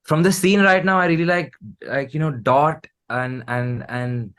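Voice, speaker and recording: A man with an Indian accent chatting casually as an interview guest, in an informal, halting way. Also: a little high, fairly bright tone, clean tone. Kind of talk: casual chat.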